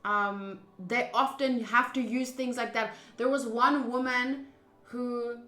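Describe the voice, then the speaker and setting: A woman's voice: a little high, steady tone, slow, pushed and bright, echoey room. A woman streamer doing a game playthrough, reading text aloud and adding casual commentary.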